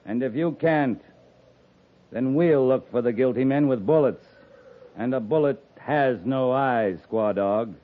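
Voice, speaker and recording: A stern army captain played by a man from a 1950s radio western, with a medium-pitched male voice. He speaks in clipped, commanding phrases and presses his point shrewdly. The old broadcast sound is narrow and lo-fi. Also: a little slow, lightly acted. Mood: stern, challenging. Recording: muffled lo-fi 1950s radio recording.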